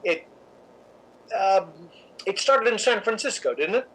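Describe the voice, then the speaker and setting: An actor playing a suave, cynical, scheming theatre critic in a radio drama, with an arch, measured, theatrical delivery. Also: male voice, high-pitched, lively intonation, fairly bright tone, exaggerated voice, poor audio. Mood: smooth, probing. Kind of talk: old-time radio drama narration and acting.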